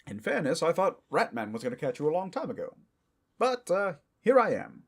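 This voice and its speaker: A man's voice: a little high, sing-song, brisk. A man playing a self-assured, well-meaning but blundering superhero in a comedic audio drama, with heroic bluster that slides into casual, earnest rambling. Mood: breezy, concerned.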